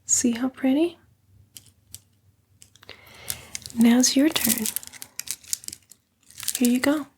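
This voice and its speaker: A woman voicing a sly female demon in a whispered, breathy ASMR roleplay, coaxing and taunting the listener in a casual way. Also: high-pitched, slow, darkish tone, a little hiss or hum. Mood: coaxing, teasing.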